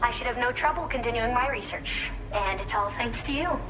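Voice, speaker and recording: A woman's voice: a little high, brisk, natural voice, low-quality recording. A woman playing a friendly, eager researcher character in a video game, chatty and enthusiastic as she explains things. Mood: grateful, enthusiastic. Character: eager, friendly female researcher living in a shelter. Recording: music behind the voice.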